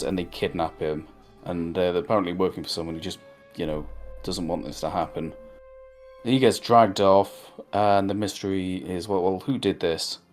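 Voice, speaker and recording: A man with a low voice talks through a review in a loose, conversational way. He is opinionated and wanders through his points as a co-host, with faint music behind him. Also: darkish tone. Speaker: co-host of a cartoon review show.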